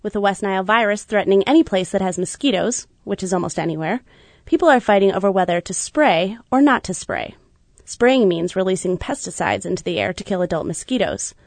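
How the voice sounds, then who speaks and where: A woman reporter reads a radio news feature in a steady, measured way. Her voice sits at an ordinary middle pitch. The studio sound is close and dry, with no room around it. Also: creaky, no room sound. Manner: measured, even reporting delivery. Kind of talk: scripted news narration.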